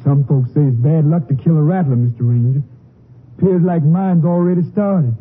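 An actor playing a frightened, deferential farmhand held prisoner in a 1950s radio western, folksy, with a Southern US accent. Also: male voice, fairly high pitch, moderate pace, phone-quality sound, slight background noise, close to an everyday voice. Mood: earnest, folksy. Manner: humble, folksy, respectful. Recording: thin, band-limited 1950s radio recording.

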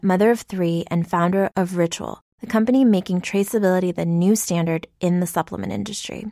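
Woman for a radio spot, average pitch, conversational scripted read, very dry sound. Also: a little mellow, no room sound. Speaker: company founder in radio ad. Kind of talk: scripted ad narration.

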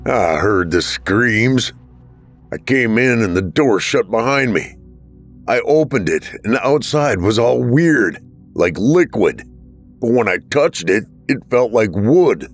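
A man's voice: medium pitch, animated, a little slow, soft background music. A man doing a weary, pleading handyman. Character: tired, desperate grown man, a handyman.

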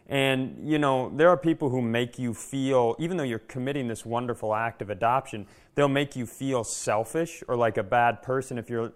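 Candid, rambling man on a podcast. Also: mid-range voice. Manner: rambling, candid, profane asides. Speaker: podcast co-host. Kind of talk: casual comedic chat.

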